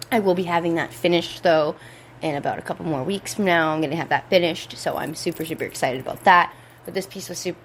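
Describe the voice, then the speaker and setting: A young woman hosting a casual podcast, rambling and laid-back, with a voice hoarse from a cold, talking at home. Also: sits low, a little hiss or hum. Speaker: podcast host. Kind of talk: casual chat. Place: indoors at home.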